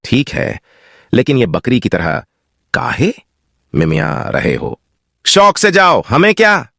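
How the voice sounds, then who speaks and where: A man playing a mocking, menacing rustic highway bandit in a cartoon story, with gravelly, drawling taunts. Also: huge pitch swings, takes it slowly, pushed and bright, no room sound, studio quality, full character voice.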